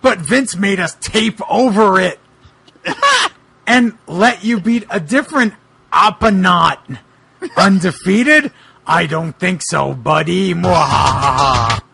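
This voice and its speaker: A taunting, cocky wrestling monster, acted out by a man for a comic dramatic reading. The voice is bright, forceful and very slow, stretching words with theatrical exaggeration. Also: high voice, big pitch swings, creaky, slightly rough, no echo, heavily acted. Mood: boastful. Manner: slow, drawn-out, exaggerated delivery.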